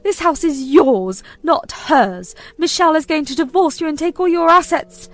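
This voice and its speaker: A woman voicing a manipulative, whining older mother-in-law who accuses and pleads in a shrill, melodramatic way. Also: moderate pace, faint music behind. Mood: scornful.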